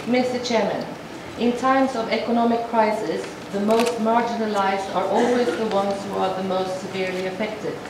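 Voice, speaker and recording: A woman interprets a formal address into speech, measured and halting, often restarting her phrases. She sounds far from the microphone in a large, heavily echoing hall. Steady noise sits under her voice. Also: mid-range voice. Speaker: sign language interpreter voicing a speech. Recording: echoey hall from far off, noisy.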